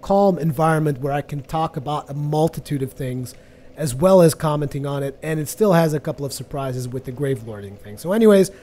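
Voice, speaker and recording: Higher-pitched man, mellow, chatty. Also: fairly quick. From Portugal. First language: Portuguese. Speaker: gaming video host. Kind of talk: casual chatty gameplay commentary.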